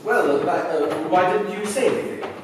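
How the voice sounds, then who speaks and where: A man's voice: high voice, moderate pace, natural voice. Man playing a formal, menacing villain in echoey hall. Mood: polite menace. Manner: formal, precise, polite menace. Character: courteous, menacing male Nazi agent, coolly formal. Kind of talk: staged 1940s-style radio play acting. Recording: echoey hall, distant mic, faint noise.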